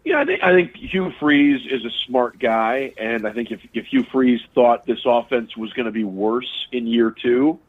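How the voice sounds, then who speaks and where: A man's voice: mid-range voice, animated, moderate pace, few pauses. A man, a sports radio broadcaster, giving casual interview analysis of college football, often restarting his phrases as he thinks. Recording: tinny phone line.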